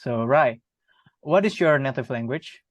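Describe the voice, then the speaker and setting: A young man with an Indonesian accent, a student conducting a semi-formal interview in a halting, polite manner. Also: medium pitch, expressive, no echo. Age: young adult.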